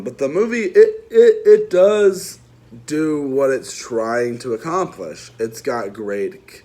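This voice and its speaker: A man's voice: mid-range voice, a little mellow. Rambling man chatting a movie review. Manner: rambling, lots of restarts and trailing asides; chatty, addresses viewers directly. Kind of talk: casual unscripted review.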